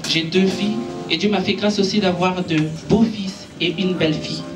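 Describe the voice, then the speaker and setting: A woman's voice: lowish voice, steady tone, moderate pace, distant mic, music in the background, rough recording. A woman preaching a sermon to her church, an Italian native speaker, earnest and exhorting, lifting her voice when she preaches. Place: church gathering in a makeshift space.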